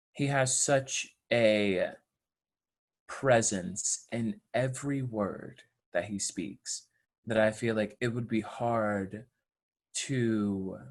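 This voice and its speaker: A man talking earnestly in an interview, with measured, deliberate phrasing. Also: fairly low pitch. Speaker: audio play cast or crew member.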